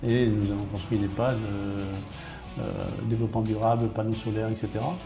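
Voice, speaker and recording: Male mayor in interview, gravelly low voice, explanatory and enumerating, roomy recording with guitar music behind. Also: level tone, fairly quick. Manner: matter-of-fact, enumerating, repeats and rephrases. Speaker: small-town mayor being interviewed. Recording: roomy, echoey sound under guitar music.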